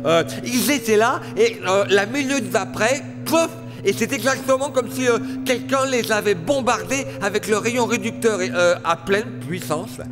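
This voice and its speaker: A scatterbrained, servile cartoon alien henchman, played by a man. He stammers anxiously in a high-pitched voice, repeating words and hesitating, with music clearly audible behind. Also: moderate pace, natural voice. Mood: flustered. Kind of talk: cartoon voice acting.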